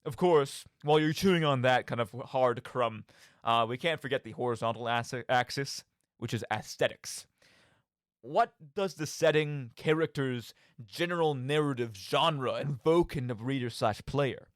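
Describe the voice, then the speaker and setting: A man's voice: mid-range voice, normal speed, no echo, studio quality. A man hosting a radio monologue about video games, speaking theatrically with rhetorical questions and direct asides to his listeners. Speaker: podcast host.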